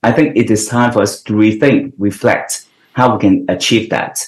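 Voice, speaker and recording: A man's voice: on the low side, steady tone, normal speed. An older man from Hong Kong answers interview questions in an earnest, explanatory way, circling back to restart his thoughts. His voice carries a roomy echo. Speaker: guest.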